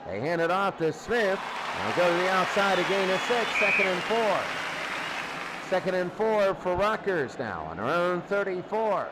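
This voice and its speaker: A man calling live American football play by play in an excitable, exclaiming manner. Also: high voice, normal speed, a loud crowd. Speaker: play-by-play announcer. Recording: clearly noisy recording.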